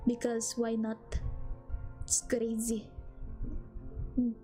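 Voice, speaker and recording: A woman's voice: fairly high pitch, completely flat delivery, slow, dark tone, soft background music. A young woman from the Philippines with a Filipino accent, chatting casually and playfully with her stream viewers, giggling and rambling.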